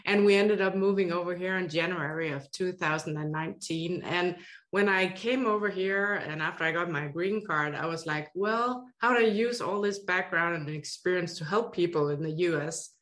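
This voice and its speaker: Danish-accented woman, lowish voice. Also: moderate pace, fairly bright tone. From Denmark. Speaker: guest, education consultant. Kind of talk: podcast interview.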